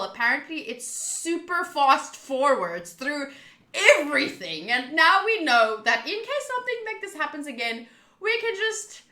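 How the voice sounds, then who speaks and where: Bright, animated young woman streaming. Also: high-pitched, reverberant. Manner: chatty and animated, jumping between loud outbursts and mumbled asides. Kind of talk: casual live game playthrough chat.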